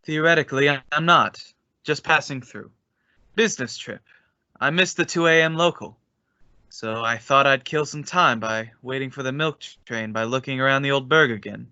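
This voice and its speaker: A man's voice: medium pitch, normal speed, bright, forceful tone, smooth, no echo, dull audio. A man playing an affable, joking fellow in a radio suspense drama, with a wry, breezy manner. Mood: wry, friendly. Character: affable, joking man, old hometown acquaintance.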